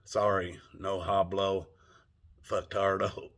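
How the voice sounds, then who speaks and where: A man's voice: sits low, level tone, slow, fairly bright tone. An older man with a Southern US accent, rambling in a folksy, salty way as he talks to his camera for a vlog. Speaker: vlogger. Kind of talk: casual monologue to camera.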